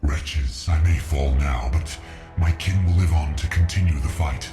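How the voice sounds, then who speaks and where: Ancient spider monarch, extremely low, echoing, proud and defiant, formal speech, fantasy game. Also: male voice, a little slow. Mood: grave defiance. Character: defiant old spider king of an underground kingdom. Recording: roomy echo, faint music behind.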